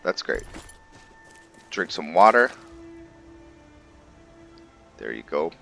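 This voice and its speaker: Guy doing a chill game roleplay playthrough, deep voice, really slow and halting, kinda thinking out loud, music behind him. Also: big pitch swings, creaky. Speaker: gaming video host and roleplayer. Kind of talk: casual gameplay commentary with roleplay storytelling.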